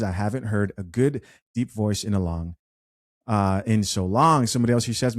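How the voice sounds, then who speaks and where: A man hosting an unscripted commentary show, chatty and animated as he reads viewer comments aloud. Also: fairly low pitch, no echo, studio quality. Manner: chatty, animated, with frequent fillers and restarts. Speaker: host.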